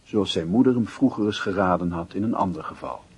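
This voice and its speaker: An actor as a reflective narrator, reading literary narration for a Dutch radio play in a husky voice. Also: male voice, sits low, fairly quick, a little mellow, heavily acted.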